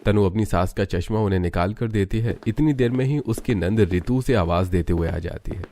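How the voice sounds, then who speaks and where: A man's voice: on the low side, fairly quick, warm tone. A man narrating a scripted cartoon family story as the storyteller. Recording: music behind the voice.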